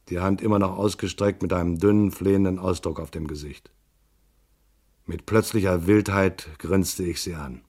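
A man's voice: fairly low pitch, darkish tone, close to an everyday voice. An actor playing a terse, dry hard-boiled private detective who narrates his own case in a radio drama. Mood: grim, accusing. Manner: terse, dry, plain-spoken.

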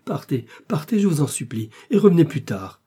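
A man's voice: a little high, very animated, fairly quick, dark tone, husky. A man voicing an anguished, dignified queen torn between duty and love, formal and imploring, in a novel reading.

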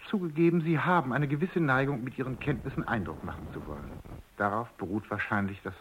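A man's voice: medium pitch, fast talker, slightly rough, lightly acted. An actor playing an urbane, middle-aged male scientist in a radio drama, talking in a jovial, wordy, slightly patronizing way. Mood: relaxed, reassuring. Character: urbane, amused, self-assured male scientist, somewhat condescending. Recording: dull, band-limited radio recording.

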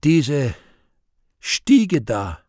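An actor playing a brooding, vain, scheming devil who mutters to himself and breaks into exclamations in a theatrical radio play monologue, with a raspy, gravelly voice. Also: male voice, medium pitch, sing-song, very slow, a little mellow, no echo, clean studio sound, full character voice. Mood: grumbling, demanding.

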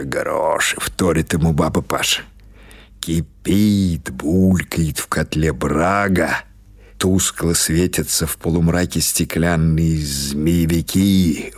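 A man's voice: rumbling low, breathy edge, dry recording. A man reading literary prose as a scripted audiobook narration.

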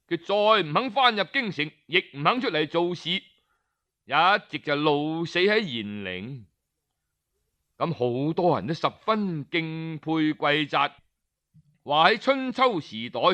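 A male Cantonese storyteller with a bright, smooth voice tells an old historical tale for an audiobook. He takes his time, speaking colloquially and expressively, as if straight to his listeners. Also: on the high side. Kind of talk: traditional Cantonese oral storytelling of historical tales, audiobook narration.

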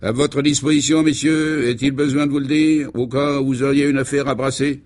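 A man's voice: medium pitch, fairly even intonation, a little fast, darkish tone, pitched above the performer's own voice, lightly acted. Man acting a ceremonious, self-important company director. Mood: obliging. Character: self-important, obliging male brewery director, a businessman.

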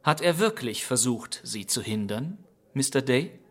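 A man playing a suave detective in a radio crime drama, speaking in a deliberate, theatrical and pointed way. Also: medium pitch, big pitch swings, a bit bright, exaggerated voice. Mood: pointed, smug. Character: suave male detective exposing the culprit.

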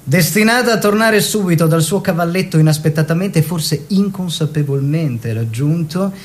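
A man's voice: moderate pace, close to an everyday voice. An actor playing a theatrical man of the arts world, declamatory and ironic, in a radio drama style. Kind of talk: theatrical narration and dialogue for radio. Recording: radio play with faint noise and rumble.

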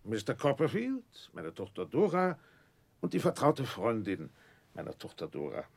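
Pompous, creaky-voiced gentleman proctor. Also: medium pitch, wildly swooping pitch, normal speed, full character voice. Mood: courteous, formal. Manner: pompous, formal, self-satisfied. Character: pompous, class-proud widowed gentleman proctor, courteous employer. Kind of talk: 1960s German radio drama acting. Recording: 1960s radio recording, faint noise.

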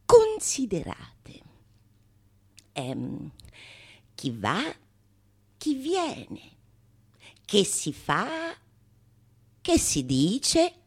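A woman actor performing a theatrical monologue in a declamatory manner, with dramatic pauses and relish for long lists of words. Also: medium pitch, sing-song, gravelly, slightly rough. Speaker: solo stage actress. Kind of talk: theatrical monologue of a Renaissance comedy.

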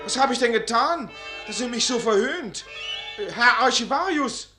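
A man's voice: extremely high pitch, lively intonation, normal speed, over music. An actor playing an earnest, easily awed young male student in a German fairy-tale radio play, theatrical and exclamatory. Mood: bewildered, indignant.

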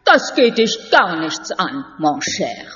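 A woman's voice: low voice, big pitch swings, takes it slowly, sharp tone, soft background music, full character voice. An actress playing a coquettish, haughty stage leading lady with a theatrical delivery in a German audio drama. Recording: dull sound in a reverberant hall.

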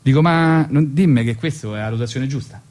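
A man's voice: medium pitch, fairly quick, a little mellow. A man with a Roman accent hosting a radio show in an ironic, colloquial comic monologue style, rambling and repeating himself.

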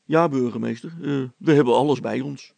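Storybook sidekick read by a man: practical, polite fellow, hesitant objections, gravelly and husky. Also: mid-range voice, fairly quick, dark tone, no echo. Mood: polite, approving. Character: hesitant, deferential, practical man, the mayor's accomplice.

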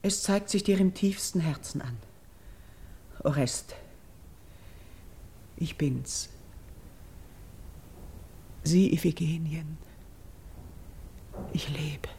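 An actress playing a noble priestess and tender, pleading sister in a classical verse radio drama, solemn and breathy, in measured declamation. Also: female voice, low-pitched, mellow, a little hiss or hum, exaggerated voice. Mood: tender, moved.

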